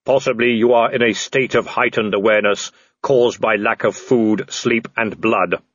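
A man voicing a calm, polite, literal-minded supernatural reaper in an audiobook, speaking in a measured, formal, deadpan way. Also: fairly low pitch, no echo, dull audio. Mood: deadpan, courteous.

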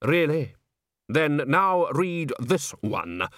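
A man voicing a calm, dryly sardonic opera music director in an audiobook, with a measured, patient, deadpan manner. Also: fairly high pitch, no echo, clean studio sound, pitched above the performer's own voice, full character voice.

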